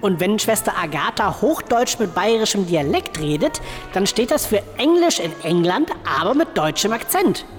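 A woman's voice: mid-range voice, big pitch swings, moderate pace, long reverb tail, soft background music, close to an everyday voice. A woman playing a flustered male writer on trial. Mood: defensive. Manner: defensive, whiny, stammering excuses. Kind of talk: comedy sketch acting.

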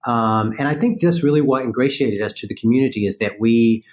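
A man from North Carolina with a Southern accent, answering a host's questions. He speaks thoughtfully in a mid-range voice and pauses on ums as he works out his point. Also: medium pitch, a little mellow. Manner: earnest, thoughtful, many fillers. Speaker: guest, farm business owner. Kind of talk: conversational interview.

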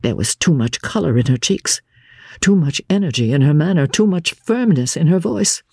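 Deep, husky woman reading classic fiction. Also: no room sound. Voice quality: low-pitched, husky. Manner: measured, grave, expressive. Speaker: audiobook narrator, radio presenter. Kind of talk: dramatic reading of classic literature as a diary.

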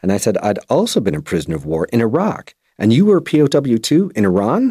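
A man's voice: mid-range voice, wildly swooping pitch, rapid-fire, dark tone, breathy edge, no echo, studio quality. A man reading a translated first-person account as a dramatic voice-over. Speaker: English voice-over interpreter for an interviewee.

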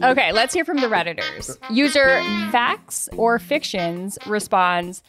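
A woman's voice: on the high side, very animated, measured pace, music in the background. A woman hosting a podcast in casual, conversational chat, with an informal, anecdotal and teasing way of talking. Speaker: podcast host.

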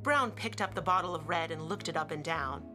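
Woman narrator over clear music. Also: low-pitched, brisk. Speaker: audiobook narrator. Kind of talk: scripted story narration.

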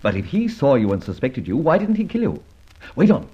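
Muffled old radio recording, breezy gentleman adventurer, wry, theatrical, very swooping intonation. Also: medium pitch, huge pitch swings, brisk, breathy edge. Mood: brisk, teasing. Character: cocky, quick-witted gentleman adventurer and secret thief. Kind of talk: old-time radio drama acting and narration.

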